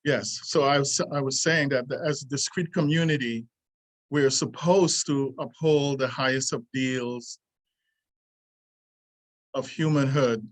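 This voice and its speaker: Guy in his 60s reading his essay out loud, mid pitch, slow and earnest, keeps stopping and picking back up. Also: fairly even intonation. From Trinidad. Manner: earnest and deliberate, stops and restarts lines. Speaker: martial arts teacher. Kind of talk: reading a prepared essay aloud.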